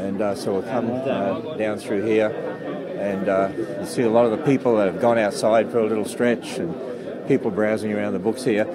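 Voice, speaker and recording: A man's voice: lowish voice, fairly even intonation, brisk. A man in his sixties hosting a live, joking interview, talking casually with many fillers into a handheld mic in a busy conference lobby. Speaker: host. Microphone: handheld microphone. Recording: loud crowd chatter behind.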